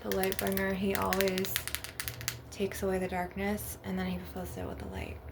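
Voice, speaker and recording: A woman's voice: mid-range voice, completely flat delivery, normal speed, creaky. A woman hosting a casual spiritual ASMR monologue to viewers, talking in a rambling, conversational way. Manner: rambling, with frequent "like" and "you know". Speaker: ASMR channel host. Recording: loud background noise with tapping and clicking. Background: crystal and glass tapping, clicks, background noise.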